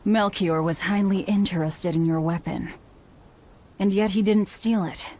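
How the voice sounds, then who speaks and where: A woman's voice: medium pitch, steady tone, a little slow, darkish tone, close to an everyday voice. An actress playing a grim young woman in a game, speaking in a cold, matter-of-fact way.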